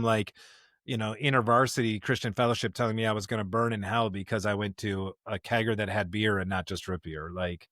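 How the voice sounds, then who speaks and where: A man co-hosting a podcast in casual conversation, talking emphatically in digressive, halting phrases. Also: fairly low pitch, a bit bright, no room sound, clean studio sound. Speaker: podcast co-host.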